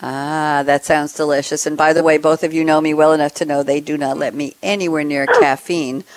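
Woman, radio talk host, low voice, chatty, upbeat, home office. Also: fairly even intonation, brisk. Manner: chatty and upbeat, teasing sign-offs. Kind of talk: lively radio host chat.

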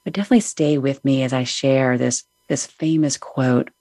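A middle-aged woman hosting a show, leading listeners through a soothing, unhurried guided breathing meditation. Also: extremely low pitch, fairly even intonation, no room sound, clean studio sound. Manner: soft, soothing, unhurried guidance. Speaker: podcast host.

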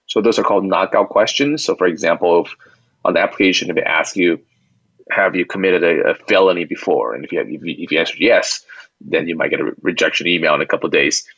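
A man with a low voice explains things as the guest in an interview. He talks in a halting, conversational way, often repeating words. The audio is dry and somewhat dull, with limited bandwidth. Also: fairly bright tone, slightly rough, no echo. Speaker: company founder and CEO, interview guest.

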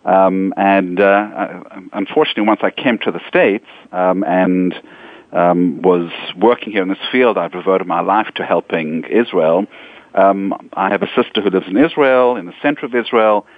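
A middle-aged man from South Africa with a South African accent, giving earnest, measured interview answers full of ums. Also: sits low, no echo. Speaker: nonprofit executive, guest. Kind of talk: interview answers, personal story. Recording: dry, phone-like narrow sound.

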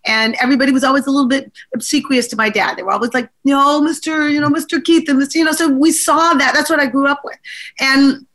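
Woman, bright voice, somewhat high, chatty, laughs a lot, many restarts. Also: fast. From born abroad to diplomat parents. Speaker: congressional candidate guest. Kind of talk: conversational interview answers.

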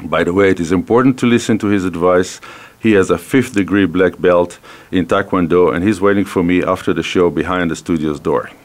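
Israeli-accented man, fifties, low voice, halting radio host, lots of um and uh. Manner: hesitant, many fillers and restarts. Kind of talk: hosting a radio business interview. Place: radio studio.

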